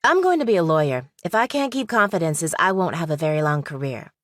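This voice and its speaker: A woman's voice: deep voice, very animated, fairly quick, no echo, studio quality. Probing young law student played by a woman. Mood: cool, matter-of-fact. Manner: direct, questioning, analytical. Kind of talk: audiobook character dialogue.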